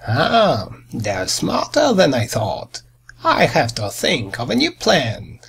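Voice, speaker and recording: A man voicing a boastful, sly, greedy big bad wolf in a storybook tale, raspy and theatrical. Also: medium pitch, wildly swooping pitch, takes it slowly, a bit bright, heavily acted. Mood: scheming. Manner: scheming, theatrical.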